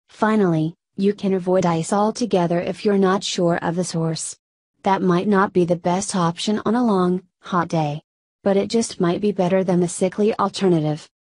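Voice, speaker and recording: A woman reading a news article aloud in a steady, scripted delivery. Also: on the low side, no echo, studio quality. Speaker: news reader.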